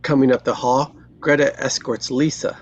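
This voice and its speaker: A narrator reading screenplay stage directions at a table read, in scripted present-tense narration with a husky voice. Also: medium pitch, fairly even intonation, normal speed, highs cut off, faint music behind.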